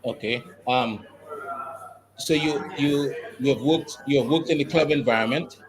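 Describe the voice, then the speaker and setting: A man's voice: medium pitch, normal speed, background noise. A man interviewing a guest, asking long multi-part questions with many restarts. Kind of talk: sports interview.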